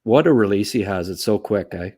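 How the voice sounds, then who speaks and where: A man's voice: on the low side, animated, a little fast, dark tone, husky. A man co-hosting a sports podcast, giving casual, chatty hockey analysis and often tagging remarks with "eh". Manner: chatty, tags remarks with "eh", chuckles at own asides.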